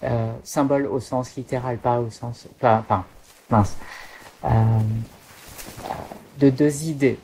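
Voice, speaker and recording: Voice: mid-range voice, steady tone, slow, pauses often, dark tone, soft background music, low-quality recording. A podcast panelist chatting casually, hesitant and often repeating words while searching for phrasing.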